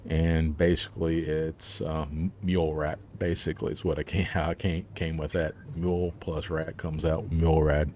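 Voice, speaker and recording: A man's voice: extremely low pitch, level tone, moderate pace, few pauses, mellow, a little hiss or hum. A man, an author, talking about his book in a casual interview, rambling with plenty of fillers and laughs.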